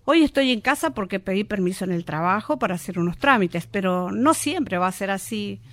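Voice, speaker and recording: A woman's voice: medium pitch, lively intonation, brisk, natural voice. A woman playing a busy, determined mother and daughter in a radio drama, with an Argentine accent. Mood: firm, worried. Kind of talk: amateur student radio drama acting.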